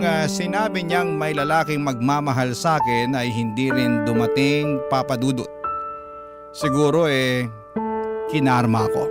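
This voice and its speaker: A man narrating dramatic letters as a radio host, in a sentimental, confessional manner. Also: a little high. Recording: loud music under voice. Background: music.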